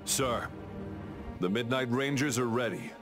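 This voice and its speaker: An actor playing a dutiful soldier in a video game, reporting in an earnest, formal way. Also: male voice, mid-range voice, measured pace, close to an everyday voice. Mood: urgent, formal. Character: dutiful male soldier reporting to his general. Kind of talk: dramatic video game acting. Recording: game music behind the voice.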